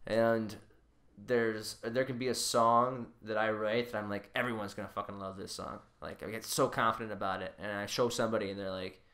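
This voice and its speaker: A man's voice: lowish voice, flat delivery, a little fast, a bit bright. A man co-hosting a podcast in casual chat, often repeating words as he feels his way into a sentence. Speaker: podcast co-host.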